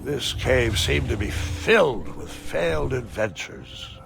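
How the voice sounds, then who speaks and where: A man playing a whimsical old grandfather who tells his adventures theatrically in a storybook-style game, with a gravelly voice. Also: medium pitch, big pitch swings, takes it slowly, music in the background, exaggerated voice. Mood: storytelling.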